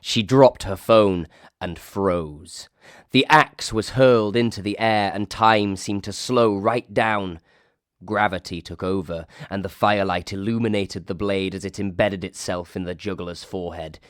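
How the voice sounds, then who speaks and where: Deep-voiced Northern English man reading aloud. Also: unhurried, no echo, studio quality. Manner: lively, switches to high voices for quoted staff. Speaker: reader. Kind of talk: storytelling reading of a comic ghost story.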